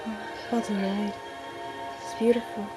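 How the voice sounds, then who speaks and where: A woman voicing a gentle, coy young female dog in an amateur cartoon dub, speaking softly and with care. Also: medium pitch, little pitch movement, moderate pace, lightly acted. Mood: gentle, concerned. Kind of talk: amateur fandub of an animated film. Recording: poor home recording, music behind.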